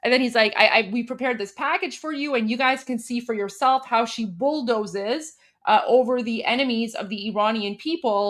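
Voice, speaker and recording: A woman with a fairly high voice hosts a casual livestream. She translates and comments in a conversational way, often circling back to rephrase, and speaks straight to her viewers. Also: a little fast, few pauses, fairly bright tone. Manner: conversational, repeats and rephrases herself, addresses viewers directly.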